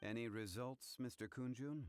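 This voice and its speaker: A man voicing a composed, dignified gentleman in a video game, formal and measured, with quiet authority. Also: mid-range voice, smooth, natural voice. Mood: calm. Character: composed, dignified, commanding, scholarly gentleman of ancient bearing. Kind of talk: video game character acting.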